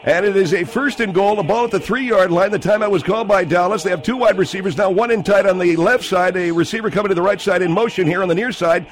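A man's voice: high-pitched, fast, few pauses. A man doing a comedic football play-by-play, rambling with many fillers and digressions. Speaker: sports announcer.

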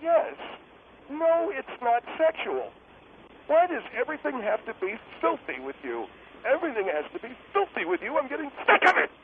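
Guy doing a high voiced touchy blustering husband, radio comedy, pitch all over, on a tinny phone. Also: lively intonation, normal speed. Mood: indignant, defensive. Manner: indignant, blustering, self-justifying. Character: excitable, touchy husband attempting a hot-steel walk. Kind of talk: comic radio remote-guest act. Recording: tinny phone line with background noise.

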